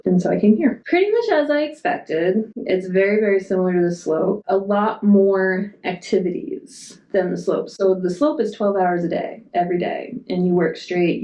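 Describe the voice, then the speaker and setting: A woman talking casually in a matter-of-fact way, rambling a little and filling pauses with ums and likes. Her voice is mellow and relaxed. The sound is dull and echoes around the room. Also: lowish voice. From Massachusetts, USA. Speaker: Antarctic program support worker. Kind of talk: casual interview talk.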